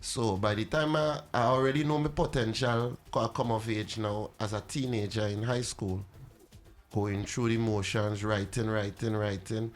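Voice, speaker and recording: A man's voice: fairly low pitch, moderate pace. Trinidadian man telling stories. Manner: animated storytelling, laughs easily, Caribbean slang. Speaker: musician guest. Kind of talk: casual interview chat.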